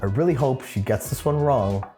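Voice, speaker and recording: Man, chef, cooking show host, playful, instructive, soft background music. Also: medium pitch, moderate pace. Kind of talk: upbeat cooking show presenting.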